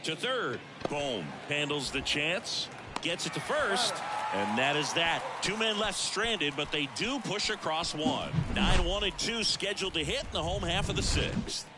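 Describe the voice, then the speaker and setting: A brisk baseball play-by-play announcer in a sports game. His voice sits fairly high and swings animatedly as he calls the action, with very loud noise all around it. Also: animated. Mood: engaged, descriptive.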